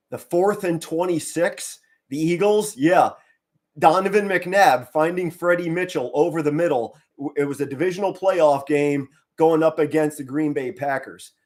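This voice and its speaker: Hoarse middle-aged male fan commentator. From Pennsylvania. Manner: subdued and low-key, with bursts of excitement. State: hoarse, straining to save his voice. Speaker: fan commentator on a live stream. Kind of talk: casual live play-by-play commentary.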